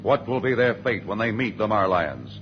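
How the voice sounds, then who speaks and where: A muffled vintage radio recording with loud music behind an American male announcer. He narrates dramatically, with a swooping, animated delivery and a declamatory serial flair. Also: fairly low pitch, normal speed.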